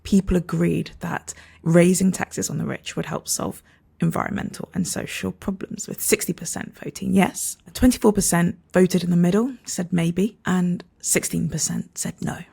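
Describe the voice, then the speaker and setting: A woman's voice: lowish voice, fairly even intonation, normal speed, mellow. A woman co-hosting a podcast, reading an introduction and then putting questions to a guest, hesitant when improvising. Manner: reads smoothly, hesitant when asking questions. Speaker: podcast co-host.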